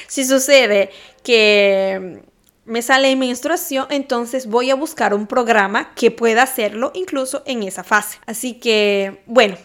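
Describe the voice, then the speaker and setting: A woman hosting a solo podcast, talking casually and animatedly, speaking straight to her listeners. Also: fairly high pitch. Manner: animated, addresses listeners directly, occasional laughs. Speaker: podcast host.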